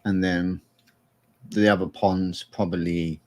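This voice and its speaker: A man co-hosting a casual chat, relaxed and rambling as he explains things step by step. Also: sits low, slow. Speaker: co-host.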